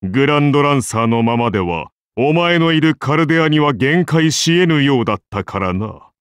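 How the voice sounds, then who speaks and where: A man's voice: medium pitch, expressive, moderate pace, clear, resonant tone, no room sound, lightly acted. A man voicing a majestic, benevolent Roman founder-king turned god in an anime game, with grand, solemn, archaic declamation. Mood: tender, reassuring. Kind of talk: anime game character voice lines.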